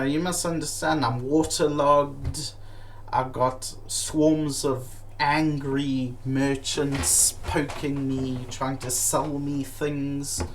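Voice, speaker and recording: A man telling a comic travel story at an unhurried pace, his voice rising and falling as he gets worked up. He swears freely and stumbles through fillers and restarts. A faint hiss of noise sits behind him. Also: medium pitch, low-quality recording. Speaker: comedic storyteller.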